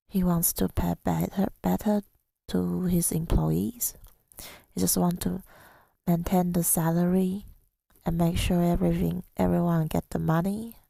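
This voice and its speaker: A woman telling a personal story in ASMR style, softly and breathily, often near a whisper, with a halting, reflective delivery. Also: lowish voice, creaky, no room sound. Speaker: ASMR creator.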